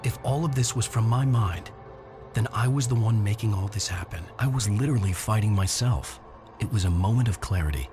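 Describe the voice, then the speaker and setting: Man playing troubled writer, introspective, grave, measured, video game narration, background music. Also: fairly low pitch, natural voice. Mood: brooding. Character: troubled male writer narrating his own nightmare, brooding, introspective. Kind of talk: scripted first-person game narration.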